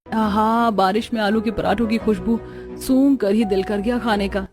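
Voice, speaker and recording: A woman's voice: mid-range voice, fairly even intonation, moderate pace, natural voice. Casting: woman to play homely, caring Indian in-law for cartoon family drama, middle register, light music bed. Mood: longing. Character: warm, family-minded young Indian daughters-in-law and older women in-laws. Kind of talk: Hindi cartoon story dialogue.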